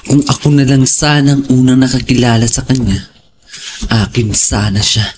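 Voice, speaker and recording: A lustful, envious Filipino stalker muses in an audio drama acted by a man. His pitch is average, and clear noise with clicks runs behind him. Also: normal speed, lightly acted. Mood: longing, furtive. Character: lustful, envious male stalker watching neighbor's wife. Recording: noticeable background noise with clicks.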